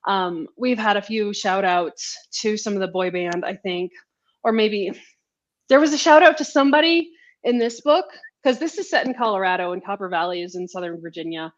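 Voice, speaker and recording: A woman author chatting casually in a live interview, telling stories in an animated, giggly way over a video call. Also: medium pitch, moderate pace, dull audio. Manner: chatty, animated storytelling, laughs often. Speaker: romance author being interviewed. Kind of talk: casual live book chat.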